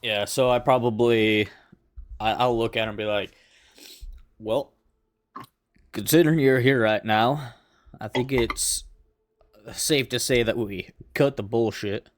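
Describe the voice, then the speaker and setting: A man playing a tabletop roleplaying game, improvising his character's lines in a measured, deliberate way, in short phrases. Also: medium pitch, no echo, clean studio sound.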